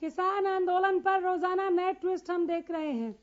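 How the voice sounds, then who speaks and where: Female debate anchor, very high voice, challenging and interruptive, slightly muffled broadcast sound. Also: steady tone, normal speed. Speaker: TV news debate host. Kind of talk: live debate moderation, pressing questions. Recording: dull lo-fi broadcast audio.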